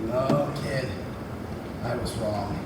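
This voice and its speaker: A man playing a weary but protective gruff old warrior with a creaky voice, on stage. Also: medium pitch, slow pace, close to an everyday voice. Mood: grave. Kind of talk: live readers theatre acting. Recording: echoey hall from far off, noisy.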